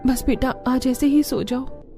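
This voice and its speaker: A woman's voice: high-pitched, flat delivery, measured pace, mellow, soft background music. A woman voicing a caring young village mother and daughter-in-law in a cartoon story. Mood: worried, tender.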